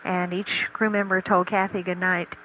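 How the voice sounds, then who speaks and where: A woman giving unscripted, matter-of-fact status commentary from mission control in a halting way. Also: lowish voice, little pitch movement. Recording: dull archival radio feed with faint noise.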